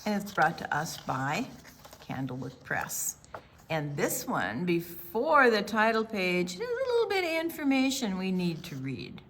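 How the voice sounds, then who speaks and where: An older woman reading a picture book to children as a storytime presenter, with deliberate storyteller phrasing and dramatic pauses. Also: lowish voice, huge pitch swings, a bit bright, slight background noise.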